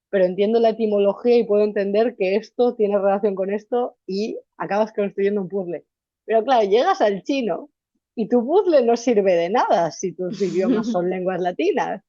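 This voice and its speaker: Chatty young Spanish woman, mellow voice. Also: medium pitch, no echo, dull audio. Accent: Valencian Spanish. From Spain. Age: young adult. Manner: animated, chatty, restarts often. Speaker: interview guest, student. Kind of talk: casual interview conversation.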